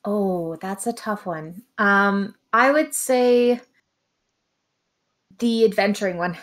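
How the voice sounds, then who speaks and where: A woman's voice: mid-range voice, takes it slowly, a bit bright. A woman chatting casually as a guest on a livestream. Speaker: stream guest and chat moderator. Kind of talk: casual chat.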